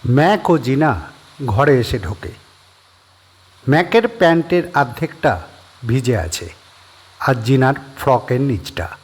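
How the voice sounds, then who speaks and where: A male narrator telling a horror story, his mid-pitched voice swinging widely in tone to build suspense. A faint hiss sits under the voice. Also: slow pace, long pauses. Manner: expressive storytelling with rising and falling tension.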